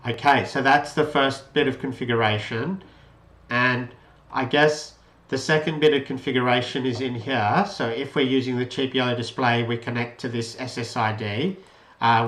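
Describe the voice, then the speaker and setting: A man's voice: mid-range voice, a bit bright. A man giving an informal, unscripted tutorial walkthrough of an electronics project, explaining step by step and rephrasing as he goes. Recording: roomy, echoey voice pickup.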